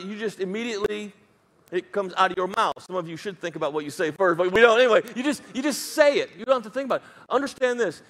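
A man's voice: high-pitched, a bit bright. A man with a Southern US accent preaching a sermon as pastor, emphatic and dropping joking asides.

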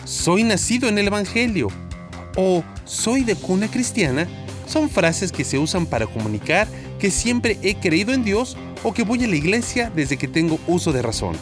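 A man gives a scripted opening to a faith-based talk show. His voice sits high and moves a lot in pitch, which keeps the questions lively. Guitar music plays clearly behind him. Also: moderate pace. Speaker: host.

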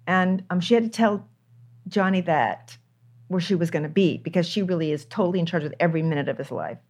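An older woman with a somewhat low voice speaks candidly as an interview guest. She talks emphatically and repeats words for stress. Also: fairly quick, a little mellow. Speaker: screenwriter guest.

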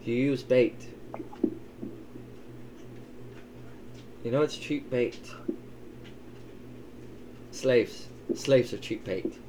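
A gruff, wry old elven dad played by a man in a tabletop roleplay, doting and foul-mouthed. He speaks at a middling pitch over clear background noise. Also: slow, mellow, natural voice. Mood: grave warning. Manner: wry, teasing, swearing. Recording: clearly noisy, ambient sound behind.

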